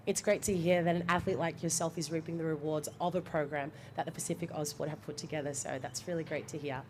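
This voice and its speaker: A woman presents a live event and puts questions to her guests. Her voice sits a little low, and she speaks in an upbeat, encouraging way. Faint background noise comes through. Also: fairly even intonation, quick pace, darkish tone. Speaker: event host interviewing athletes.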